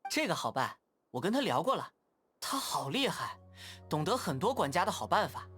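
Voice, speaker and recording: An actor voicing a friendly, playful young scout in a game's dubbed voice lines. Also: male voice, a little high, big pitch swings, normal speed, sharp tone, breathy edge, soft background music, full character voice. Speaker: game character. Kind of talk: game voice lines.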